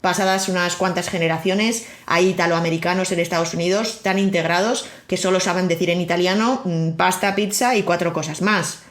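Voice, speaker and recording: A woman's voice: fairly low pitch, fairly even intonation, brisk, few pauses. A woman with an accent from Spain, hosting a podcast and reading a scripted monologue with wry, personal storytelling. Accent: Spain Spanish. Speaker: podcast host. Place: living in Miami.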